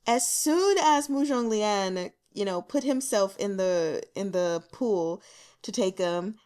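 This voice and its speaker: Woman, medium pitch, casual book commentary, animated, slangy, chatty, giggly asides. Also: slow pace. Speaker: book reviewer hosting a reading vlog.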